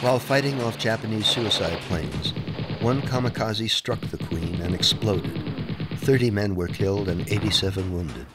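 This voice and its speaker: A man's voice: lowish voice, normal speed, poor audio. A man reading scripted historical narration as a documentary narrator. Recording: music bed under the voice. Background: music.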